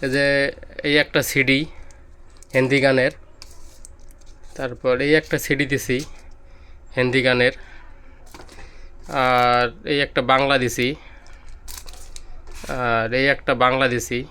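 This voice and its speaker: A man's voice: medium pitch, flat delivery, slow pace, pauses often, bright, forceful tone, smooth. A man with a Bangladeshi accent giving an informal, repetitive product demonstration as a seller, pointing things out as he goes. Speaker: electronics seller demonstrating used audio gear.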